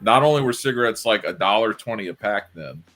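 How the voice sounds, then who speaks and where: Lowish male co-host, jokey, laughs between lines. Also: lively intonation, fairly quick, fairly bright tone, soft background music. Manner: joking, laughs often. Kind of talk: casual chat.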